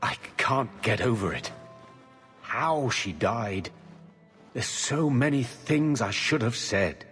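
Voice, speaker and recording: An actor playing a coarse but sentimental older uncle in a video game, talking plainly, with a long reverb on the voice. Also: male voice, mid-range voice, animated, slow, a bit bright. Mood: regretful. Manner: coarse, plain-spoken reminiscing. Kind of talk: video game drama acting. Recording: long reverberant tail, faint music behind.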